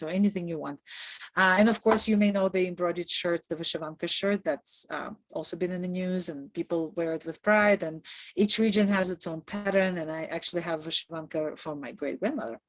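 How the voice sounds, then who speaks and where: A woman's voice: on the low side, no echo. A woman from Kazakhstan, a native Russian speaker, giving an upbeat, conversational information session as a returned volunteer over a video call. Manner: conversational, upbeat, laughs often. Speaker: Peace Corps presenter and returned volunteer. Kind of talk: informational presentation.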